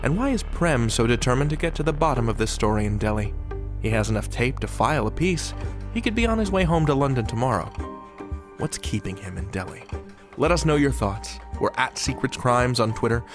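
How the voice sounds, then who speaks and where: A man hosting a drama podcast, reading scripted teasers and outro and addressing listeners directly with teasing questions. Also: medium pitch. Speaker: host.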